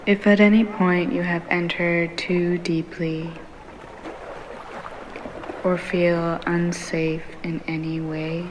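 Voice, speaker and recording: A woman leading a guided meditation in a soothing ASMR style, speaking in short unhurried phrases with long pauses. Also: lowish voice, flat delivery, noisy background. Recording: waves and rain sound clearly behind. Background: ambient ocean waves and rain.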